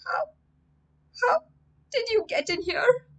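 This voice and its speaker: A woman voicing a frightened young boy who stammers, high-pitched and near tears. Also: animated, slow pace, distant mic, exaggerated voice. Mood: scared, stammering.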